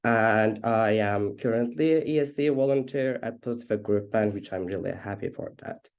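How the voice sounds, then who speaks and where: Guy presenting over a video call, low warm voice, lots of uh and restarts. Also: normal speed, vocal fry, clean tone. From Azerbaijan. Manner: hesitant, many fillers and restarts. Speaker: HIV activist presenter. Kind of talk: webinar presentation.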